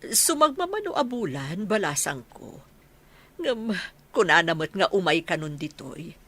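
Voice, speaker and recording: A woman's voice: lowish voice, big pitch swings, measured pace, sharp tone, heavily acted. A woman playing an elderly village mother, affectionate but aggrieved, in an Ilocano radio drama, with an emphatic motherly manner. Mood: gentle.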